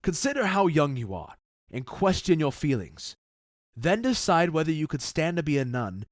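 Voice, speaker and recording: A man playing a commanding, measured duke in an audio drama, speaking with stately, deliberate authority. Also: on the high side, no room sound, studio quality. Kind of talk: modernized Shakespeare audio drama acting.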